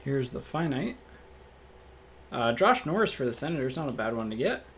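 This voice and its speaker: A man with a slightly raspy voice hosts a card break, reading off each card in a relaxed, matter-of-fact way and thinking out loud. He is recorded indoors at a table by a fixed camera. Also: medium pitch, moderate pace, slight background noise. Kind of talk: casual card-opening commentary.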